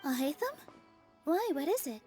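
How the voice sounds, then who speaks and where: An actress playing a gentle, polite and helpful young woman in a video game, thoughtful in manner. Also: high voice, sing-song, moderate pace, over loud music. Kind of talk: video game cutscene acting.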